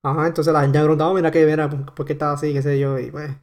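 A young man from Puerto Rico with a Puerto Rican accent, chatting casually on a podcast and filling pauses with "este". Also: fairly high pitch, flat delivery, darkish tone. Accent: Puerto Rican Spanish. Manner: rambling, heavy on fillers. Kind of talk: casual chat.

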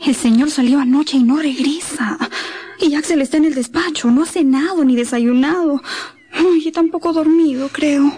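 An actress playing an affectionate, fretful old housekeeper in a radio drama. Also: female voice, sits high, moderate pace, warm tone, lightly acted. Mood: worried, fussing.

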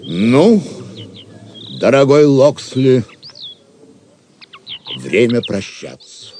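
An actor playing a grave, reserved, valiant knight in an audio drama. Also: male voice, medium pitch, sing-song, drawn-out words, a little mellow. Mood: solemn. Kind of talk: Russian audio drama acting. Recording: noisy forest ambience with birdsong.

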